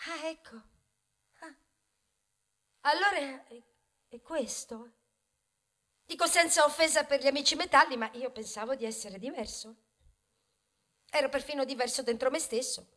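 Bright, high-voiced, wry talking wheat grain. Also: female voice. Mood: wry, musing. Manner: expressive, ironic, with dramatic pauses and sudden outbursts. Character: talking grain of wheat, wry, indignant, reproachful towards humans. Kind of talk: theatrical monologue for a radio ballad.

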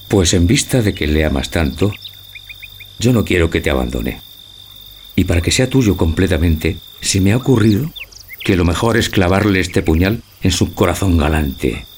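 A man's voice: low-pitched, lively intonation, moderate pace, slight background noise. A man playing a lovelorn, brooding old husband in a theatrical radio tragicomedy, with grave, poetic declamation. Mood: coldly tender. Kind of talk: theatrical Spanish radio drama acting.

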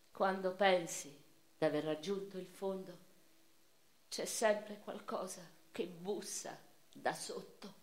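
A woman acting a proud, anguished eighteenth-century Neapolitan revolutionary facing execution, in a theatrical radio drama monologue with weighty, deliberate phrasing. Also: mid-range voice, close to an everyday voice. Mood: sorrowful, reflective. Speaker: stage and radio actor. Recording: violin music behind the voice.

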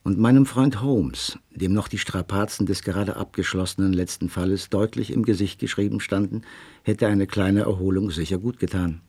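A genteel narrator of a detective radio play. His voice is deep and husky, and he tells the tale reflectively, with literary calm. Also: normal speed. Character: Doctor Watson as reflective, genteel storyteller. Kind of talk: scripted first-person narration in German radio drama.

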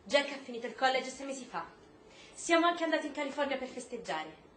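Actress as a high-voiced, reproachful military wife. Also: female voice, big pitch swings, fast, a bit bright. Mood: hurt, reproachful. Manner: pleading, accusing, emphatic. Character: reproachful, principled military wife and mother, defiant. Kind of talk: stage-style radio drama acting. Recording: roomy echo, faint noise.

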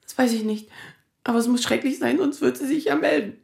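A woman's voice: a little high, gravelly, darkish tone, roomy sound. An actress playing a distraught woman in her thirties in an audio drama, speaking in anxious, stammering bursts. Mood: evasive, upset. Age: 30s. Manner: halting, stammering. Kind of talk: naturalistic audio drama acting.

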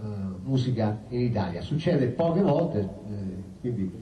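A man's voice: on the low side, expressive, a little slow, distant mic, slight background noise. A male musician informally introducing a song from a live stage, in an offhand, hesitant way. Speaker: musician addressing a live audience. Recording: roomy live stage sound, loud guitar.

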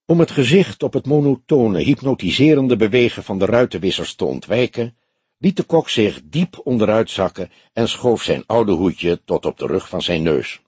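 A man's voice: lowish voice, expressive, moderate pace, darkish tone, slightly rough, dry recording. A man reading a detective novel aloud as scripted narration. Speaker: audiobook reader.

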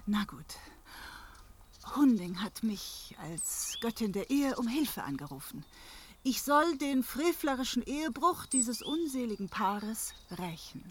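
An actress playing a jealous, wrathful goddess of marriage in a fantasy radio drama, theatrical and accusing. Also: female voice, a little high, very animated, unhurried, a little hiss or hum. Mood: confronting.

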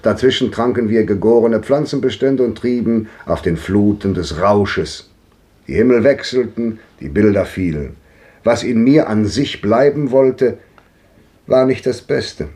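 Man playing a low-voiced, urbane old sceptic. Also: a little slow, mellow, lightly acted. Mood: amused, resigned. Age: older. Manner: urbane, aphoristic. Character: worldly, sceptical old man gently mocking his friend. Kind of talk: 1950s German radio drama acting.

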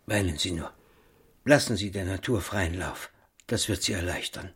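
An actor playing a pedantic, self-assured pharmacist in a German radio drama, speaking in a pompous, didactic way. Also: male voice, sits low, moderate pace, fairly bright tone, slightly rough, heavily acted. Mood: soothing. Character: pedantic freethinking male pharmacist, self-assured, placating, argumentative.

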